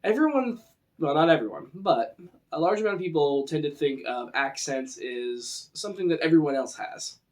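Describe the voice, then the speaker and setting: Higher-voiced Western American man. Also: expressive, normal speed. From western United States. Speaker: tabletop RPG presenter. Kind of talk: informal instructional talk.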